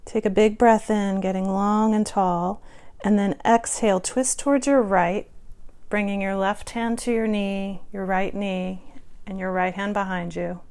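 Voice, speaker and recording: A woman guiding a yoga and meditation practice as the instructor, cueing in a soothing, unhurried way with pauses between phrases. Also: medium pitch, fairly even intonation, gravelly, a little mellow.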